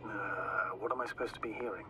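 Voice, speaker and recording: An actor playing a cautious, formal space mission commander in a video game, talking over a radio with restraint. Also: male voice, on the low side, fairly even intonation, fairly quick. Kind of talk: naturalistic video game voice acting. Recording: distant radio voice in loud noise.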